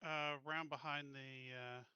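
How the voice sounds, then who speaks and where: Male tabletop player, sharp clear voice, casual game chat, dry lo-fi mic. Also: a little high, steady tone, slow, dry recording.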